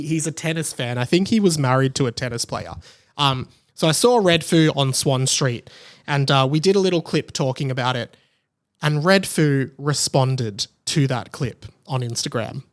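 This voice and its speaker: Higher-pitched Australian man, casual podcast host. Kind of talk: casual solo podcast talk.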